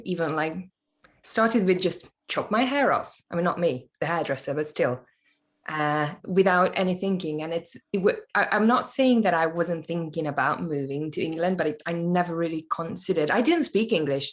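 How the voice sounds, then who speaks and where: Low-voiced woman, thoughtful. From Hungary. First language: Hungarian. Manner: thoughtful, searching for words. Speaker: podcast guest, actor. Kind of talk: casual interview chat.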